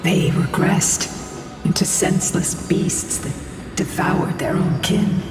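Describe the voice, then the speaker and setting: An actor playing a solemn, stern ancient warrior spirit in a fantasy video game, speaking gravely and formally. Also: mid-range voice, slow pace, close to an everyday voice. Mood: bitter disgust. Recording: very echoey distant hall, loud music behind.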